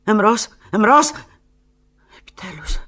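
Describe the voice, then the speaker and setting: A husky, desperate lovelorn woman. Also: medium pitch, animated. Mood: agitated, urgent. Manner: halting, emotional, swinging from hushed murmurs to urgent cries. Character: desperate, lovelorn woman abandoned by her lover, on the telephone. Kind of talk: theatrical radio drama monologue.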